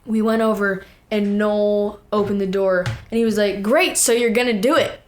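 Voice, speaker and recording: A teenage young woman chatting casually, telling stories in an animated way with acted-out quotes and plenty of "like". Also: mid-range voice, moderate pace, a little hiss or hum. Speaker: young singer and songwriter, interview guest. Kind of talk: casual chat, storytelling.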